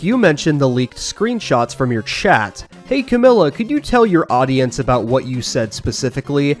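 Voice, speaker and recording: A man delivering scripted commentary as a guest, in an accusatory, emphatic manner. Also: on the high side.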